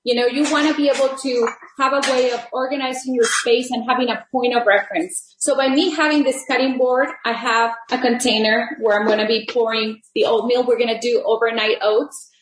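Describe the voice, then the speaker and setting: A woman's voice: on the high side, fairly even intonation, a little fast, few pauses, reverberant, a little hiss or hum, low-quality recording. A middle-aged woman whose first language is Spanish, a rehabilitation teacher giving a friendly, chatty cooking demonstration from her home kitchen, with many fillers and restarts. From Texas border region.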